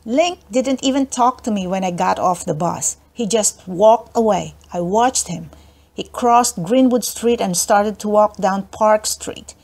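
A woman narrating a children's book aloud in an expressive storytelling style. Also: medium pitch, unhurried, gravelly, soft background music. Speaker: audiobook narrator.

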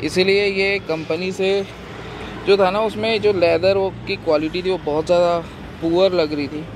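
A man's voice: high voice, fairly even intonation, moderate pace. A man in his thirties, a travel vlogger chatting casually to his phone camera with plenty of fillers, filming from a car at night and later from a hotel room. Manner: chatty, talks straight to camera with many fillers. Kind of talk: casual travel vlog narration. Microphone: phone camera held at arm's length. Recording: noisy phone recording with road and car sound.

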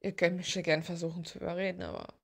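A woman's voice: on the low side, sing-song, fast talker, creaky, slightly rough. A woman chatting casually and bluntly in slangy, rambling fashion, like an informal art vlogger. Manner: informal, blunt, slangy, gushing about details. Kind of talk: casual rambling chat while drawing.

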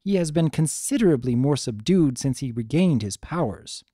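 A man's voice: mid-range voice, animated, normal speed, mellow, husky, no room sound, clean studio sound. A man voicing a proud, formal pony princess, a concerned younger sister with archaic, emphatic speech in a dramatic story reading. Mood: grave, formal.

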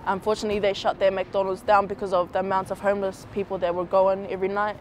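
A young man, talking casually and softly in an outdoor street interview with relaxed slang. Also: high-pitched, fast, slight background noise. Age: young adult. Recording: music bed underneath.

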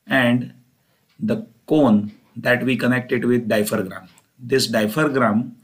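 A man lecturing as an engineering teacher, explaining step by step and often repeating himself. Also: medium pitch.

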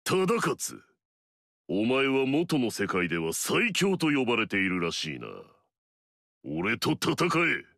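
A man's voice: medium pitch, very animated, slow, a bit bright, no room sound, studio quality, full character voice. An actor playing an arrogant, fierce male warlord in a video game, swaggering and barking battle cries.

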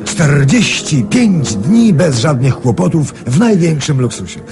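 Casting: a genial rich emigrant for radio drama, hearty braggart with an expansive manner, music underneath. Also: male voice, fairly high pitch, big pitch swings, measured pace. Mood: smooth, charming. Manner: hearty, chuckling, hesitant fillers, expansive. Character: jovial boastful Polish emigrant man, self-made rich swindler. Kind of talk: 1980s Polish radio drama acting.

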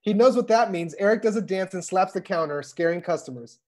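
Female narrator for a comedy script read, medium pitch, delivering scene headings and action. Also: fairly quick, darkish tone. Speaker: stage direction reader. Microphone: video call.

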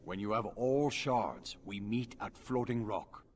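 An actor playing a proud, solemn alien native warrior guide in a video game, speaking formal, clipped English. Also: male voice, fairly low pitch, measured pace, slight background noise, lightly acted. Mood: resolute. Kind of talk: video game character acting.

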